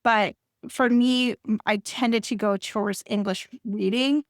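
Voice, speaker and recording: A woman's voice: fairly high pitch, steady tone, unhurried, no echo, studio quality. A middle-aged woman from Puerto Rico hosting a book podcast, chatting with enthusiasm and rambling a little. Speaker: podcast host. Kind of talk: casual interview chat.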